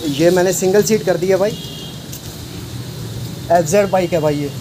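A man's voice: high-pitched, moderate pace. A man, a bike shop owner casually showing off his work on camera, boastful and chatty, calling everyone "bro". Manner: chatty, says "bhai" constantly, boastful. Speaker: bike mechanic and channel host. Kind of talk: casual walkaround video talk. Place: outdoor bike repair shop. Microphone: phone camera, speaker off screen. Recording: noisy street and traffic behind.

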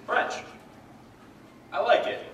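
An actor playing a brash, insulting show producer who talks bluntly. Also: male voice, slow, fairly bright tone, a little hiss or hum. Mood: blustering. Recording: echoey stage from far off.

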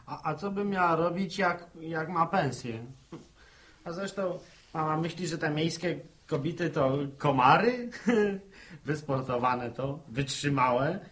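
A joking young country lad in a vintage radio play. His voice is high and sharp, taking its time as he teases flippantly. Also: animated, slow pace. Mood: teasing. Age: young adult. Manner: teasing, flippant. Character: cheeky, joking young farm son. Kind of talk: 1960s Polish radio drama acting. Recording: 1960 archive radio drama recording.

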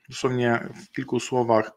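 A middle-aged man talking through slides on a video call, in a voice of ordinary middle pitch. He speaks in halting stretches, filling pauses with hesitant sounds and restarting phrases as he explains the figures. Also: pushed and bright. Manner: halting, many fillers and restarts, slow deliberate pace in places. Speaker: webinar presenter. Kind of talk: explaining a partner bonus program over slides. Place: indoor room, video call.

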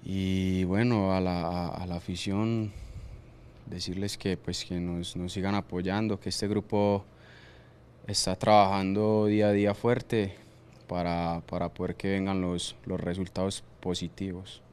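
A fairly low-voiced young man answers reporters after a match, his thoughts coming in short halting bursts with repeated words. He talks into a desk microphone in a press room, with a faint hum of ambience behind him. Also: little pitch movement, darkish tone. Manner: hesitant, repeats words while searching, laughs easily. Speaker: soccer player. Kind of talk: postmatch press conference answers.

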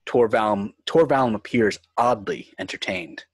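A man's voice: on the low side, normal speed, slightly rough, no room sound. A man reading scripted screenplay narration and stage directions for a table read.

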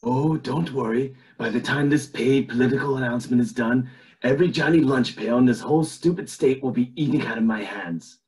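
A man's voice: mid-range voice, brisk, darkish tone, natural voice, poor audio. A man voicing a scheming, smug elderly tycoon in an oily, pompous, theatrical way, in an amateur cartoon table read. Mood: scheming, grumbling. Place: indoors at home on a video call.

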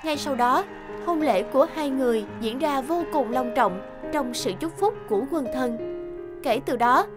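A woman's voice: high-pitched, animated, normal speed. A woman reading scripted storybook narration as the narrator of a fairy tale. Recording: music behind the voice.

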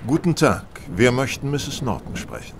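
An actor playing a confident, wry detective in a radio play, with a brisk, assured, theatrical delivery. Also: male voice, medium pitch, huge pitch swings, gravelly, background noise. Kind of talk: radio play acting in German audio drama.

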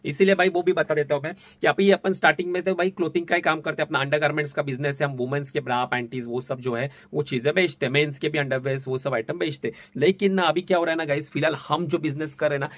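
A man's voice: on the high side, a bit bright. A young man in his twenties, chatting casually to camera in a vlog and filming himself on a phone held at arm's length. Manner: chatty, says "bhai" constantly. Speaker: vlogger and online seller. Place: small indoor office room, also outdoors on a street at night.

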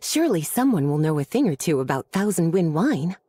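A woman playing a gentle, teasing young witch and teacher in a video game, soft-voiced and nurturing. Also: low-pitched, very animated, brisk, breathy edge, no echo, full character voice. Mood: encouraging. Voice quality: velvety, soft. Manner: nurturing, coquettish, leisurely explanatory. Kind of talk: video game character acting.

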